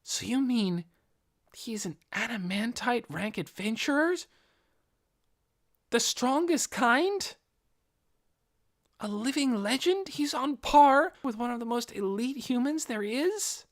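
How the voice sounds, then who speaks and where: A man's voice: very high voice, expressive, slow, long pauses, pitched above the performer's own voice, exaggerated voice. A man voicing an eager, curious young male potion maker in an audiobook, with wide-eyed questioning. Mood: astonished.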